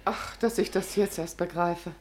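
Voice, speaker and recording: An actress playing a helpful, gently firm village woman in a 1950s radio play, composed and solicitous in her speech. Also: on the low side, fairly even intonation, moderate pace, close to an everyday voice. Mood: soothing. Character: helpful, brisk, caring village woman, trained in nursing. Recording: roomy 1950s radio recording, faint hiss.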